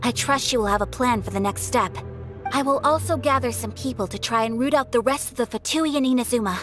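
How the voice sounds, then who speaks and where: A woman's voice: on the high side, fast talker, fairly bright tone, soft background music, lightly acted. A woman voicing a composed, resolute young noblewoman in a video game, speaking formally and articulately.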